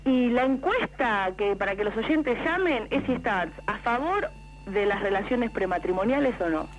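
A woman's voice: on the high side, animated, moderate pace, slight background noise. A woman with a Buenos Aires accent giving a casual, wry live report to a radio show by phone. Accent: Buenos Aires Argentine. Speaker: radio correspondent. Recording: live telephone call to radio.

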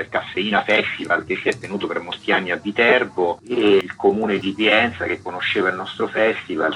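Man, very low pitch, bright forceful tone, interview guest, light background noise. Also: poor audio. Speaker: festival artistic director, interview guest. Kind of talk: radio interview, explanatory.

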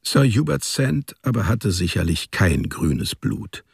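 A male narrator reads scripted literary prose for a radio drama. His voice is deep, creaky and husky. The sound is close and dry. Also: big pitch swings, measured pace, no room sound. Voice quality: gravelly, husky.

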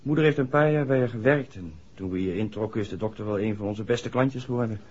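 Guarded, slightly husky young garage mechanic. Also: male voice, on the low side, fast, darkish tone. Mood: guarded, wary. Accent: Netherlands Dutch. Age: young adult. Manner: deferential, reticent. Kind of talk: 1960s Dutch radio drama acting. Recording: muffled old radio recording.

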